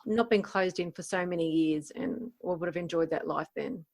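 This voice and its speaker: A woman's voice: lowish voice, moderate pace, gravelly, a little mellow. Encouraging Australian woman, plain-spoken. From Gold Coast, Queensland, Australia. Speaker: podcast host answering interview questions. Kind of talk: casual, conversational interview.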